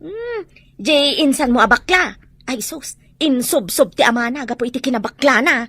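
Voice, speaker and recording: A woman acting a blunt, gossiping village relative with a husky voice in a comedy drama. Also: high voice, animated, moderate pace, fairly bright tone, dry recording, full character voice. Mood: gossipy.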